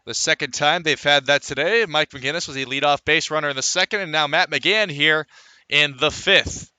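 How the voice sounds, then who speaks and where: A man's voice: a little high, moderate pace, sharp tone, clean tone, dry recording. An American man calling a baseball game as a live radio play-by-play broadcaster. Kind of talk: live radio sports commentary.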